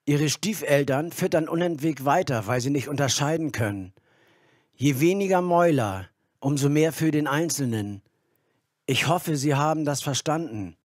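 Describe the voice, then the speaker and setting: An actor narrating a radio play as a cold, didactic man who speaks deliberately. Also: fairly high pitch, expressive, darkish tone, no echo, clean studio sound, lightly acted. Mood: cold, instructive. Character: cold male lecturer on ruthless survival. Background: music.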